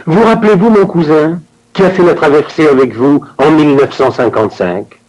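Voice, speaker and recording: A man reading scripted dialogue for a language course with an expressive, theatrical delivery. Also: fairly high pitch, moderate pace, poor audio.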